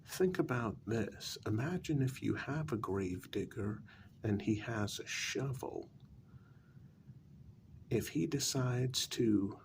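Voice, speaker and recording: A man playing an older, vain, sarcastic gentleman vampire in an ASMR role-play, speaking softly and breathily and dropping into whispers. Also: lowish voice, creaky, slight background noise. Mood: dry, mocking. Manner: slow, deliberate, dryly condescending.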